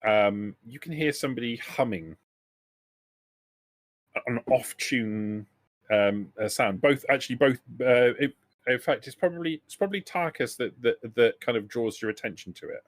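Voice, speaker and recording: A man's voice: medium pitch, moderate pace, no echo. A man acting as game master, explaining rules and narrating a tabletop roleplaying game in a hesitant, explanatory way.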